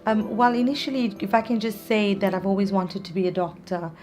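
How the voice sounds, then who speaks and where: A woman born in South Africa, a psychiatrist answering interview questions in earnest, measured explanations. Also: medium pitch, darkish tone, faint music behind. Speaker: psychiatrist guest.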